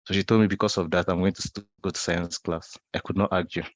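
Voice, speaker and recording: A man's voice: low voice, steady tone, fast talker, no room sound. A young Nigerian man in his twenties giving an online talk, telling a personal story in a conversational way, often repeating and restarting his phrases. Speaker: guest speaker. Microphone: video call.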